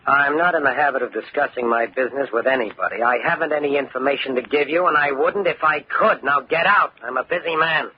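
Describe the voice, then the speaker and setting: An actor playing a gruff, impatient company tycoon in an old-time radio drama, curt and domineering. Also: male voice, medium pitch, fast talker, hardly any pauses, noisy background, natural voice. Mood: curt dismissal. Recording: muffled old radio recording.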